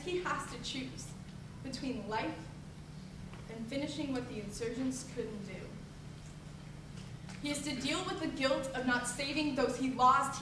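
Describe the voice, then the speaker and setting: Young woman, high voice, emphatic rhythmic slam poetry, very echoey hall, far from mic. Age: teens to young adult. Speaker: slam poet. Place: echoey venue at a high school showcase. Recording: echoey distant live recording, background noise.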